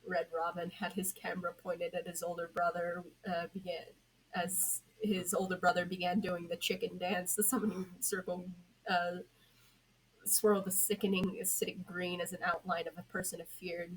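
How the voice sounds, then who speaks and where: A woman narrating scripted fiction in an amateur style, a bit halting. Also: on the low side, level tone, a little hiss or hum, rough recording. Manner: halting, prompts co-reader with corrections. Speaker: podfic narrator.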